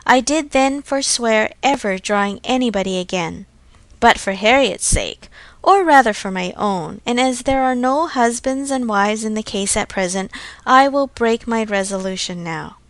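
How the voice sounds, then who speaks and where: Female narrator as proud young Regency lady, genteel, chatty, high voice, dry storybook recording. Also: no room sound. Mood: piqued. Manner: genteel, chatty, self-satisfied.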